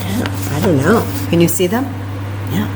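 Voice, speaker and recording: A woman hosting a children's library story time, reading a picture book aloud with animated, exclamatory asides straight to her young listeners. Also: fairly low pitch, measured pace, background noise.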